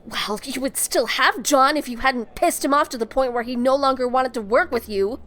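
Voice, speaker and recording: A woman's voice: high-pitched, moderate pace, a bit bright. A woman playing an exasperated, hurt younger sister in an audio drama, scolding and emphatic.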